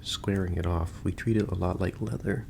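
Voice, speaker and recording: A man playing an easygoing, goofy corpse stitcher in a halting, rambling audio roleplay monologue, talking matter-of-factly to a listener. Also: low voice, steady tone, gravelly. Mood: matter-of-fact, casual. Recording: noisy home recording with ambience.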